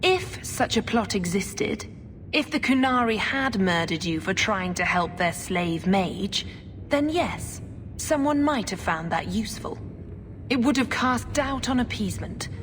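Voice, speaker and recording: A woman playing a haughty, scheming religious sister in a video game, with a clipped, condescending, measured delivery. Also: mid-range voice, expressive, lightly acted. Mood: cool, defensive. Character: haughty, scheming Chantry sister, coolly calculating. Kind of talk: video game cutscene acting. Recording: long hall reverb, clear background noise.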